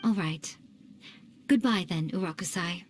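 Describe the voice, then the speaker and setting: A woman's voice: lowish voice, a little slow, a little hiss or hum, close to an everyday voice. An actress playing a powerful, centuries-old yokai woman in a video game, composed, knowing and slyly teasing. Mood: fond, content. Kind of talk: video game character acting.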